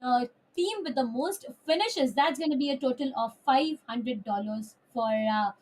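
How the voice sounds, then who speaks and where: A woman's voice: sits high, normal speed, darkish tone, rough recording. A woman casting a live esports match over a headset mic, talking in a chatty way with many filler sounds. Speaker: esports caster.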